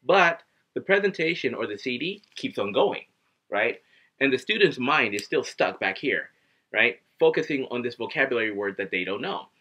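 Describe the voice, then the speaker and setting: A man in his thirties teaching English to camera at home, animated and acting out little scenes as he explains. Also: medium pitch, normal speed, slightly rough. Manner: animated, acts out students and himself, gestures a lot. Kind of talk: casual video lesson to camera. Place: living room at home, indoors. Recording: dull lo-fi webcam audio.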